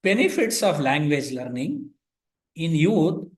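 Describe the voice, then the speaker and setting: A man's voice: a little high, sing-song, slow pace, reverberant. An older man from India with an Indian English accent and Kannada as his first language, giving an online lecture with dry asides. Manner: explanatory, pointing at slides, dry asides. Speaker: visiting professor.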